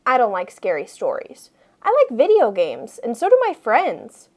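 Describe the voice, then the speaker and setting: A woman voicing a cheeky, exasperated schoolboy narrator from a children's storybook, with emphatic, expressive delivery. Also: on the high side, moderate pace. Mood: grumbling, cheeky. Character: reluctant schoolboy narrator who hates reading, cheeky, exasperated.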